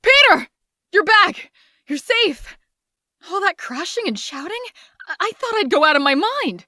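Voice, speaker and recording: A woman's voice: very high voice, very animated, a little slow, sharp tone, no room sound, clean studio sound, heavily acted. A woman playing a young, worried friend of a superhero in a comic dub, with an emotional, dramatic delivery. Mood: distraught. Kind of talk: melodramatic comic dub acting.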